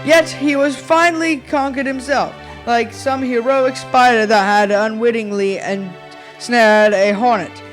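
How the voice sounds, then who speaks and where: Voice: medium pitch, steady tone, measured pace, faint music behind, lightly acted, low-quality recording. A young student narrating a ghostly tale for a school radio play in a formal, dramatic storytelling manner. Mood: measured. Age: child or young teen.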